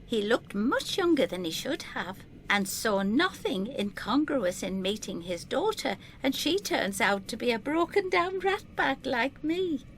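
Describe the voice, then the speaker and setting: A woman's voice: on the high side, big pitch swings, unhurried, few pauses, a little hiss or hum. An actress playing a vague, spaced-out woman in her seventies, wistful and deluded, in an audio drama. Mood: dreamy, proud.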